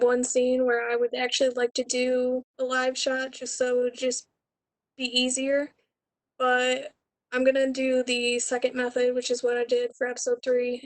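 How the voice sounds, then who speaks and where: A young woman, a student director, answering questions informally about her own project. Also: high voice. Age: young adult.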